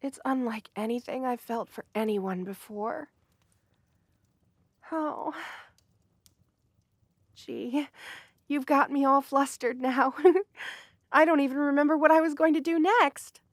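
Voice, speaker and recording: A high, unhurried young woman's voice, acted by a woman for a romantic ASMR roleplay. She is sweet, shy and earnest, gently flustered and prone to hesitate mid-phrase. The recording is dry and intimate, with no room sound. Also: no room sound. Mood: flustered, affectionate.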